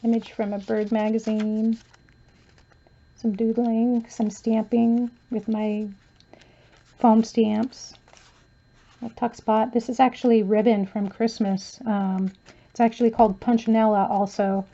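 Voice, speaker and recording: A woman casually talking through a handmade journal she shows page by page, softly and with frequent fillers, her voice going hoarse as she loses it. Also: on the high side, a little slow, pauses often, dull audio. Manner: soft-spoken, relaxed, with frequent um and uh fillers. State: losing her voice, clearing her throat. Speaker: crafter showing her journal. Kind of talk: casual flip-through commentary.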